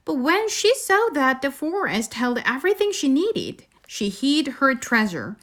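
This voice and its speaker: A lady owl schoolteacher from a children's storybook, voiced by a female reader. She speaks high and with lively intonation, in a kindly, measured storytelling manner with gentle authority. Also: exaggerated voice. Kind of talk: storybook read-aloud.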